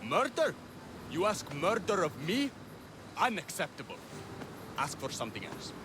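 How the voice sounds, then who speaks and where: Pompous, animated proud Greek, noisy ambience. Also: male voice, a little high, measured pace. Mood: haughty. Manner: pompous, theatrical. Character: proud, melodramatic, honour-obsessed Greek family man. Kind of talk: video game cutscene acting.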